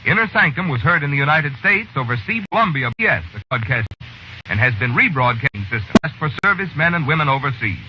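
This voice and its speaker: A man's voice: fairly high pitch, sing-song, quick pace. A man reading a formal 1940s sign-off as a military radio service announcer. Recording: dull, very noisy old radio recording.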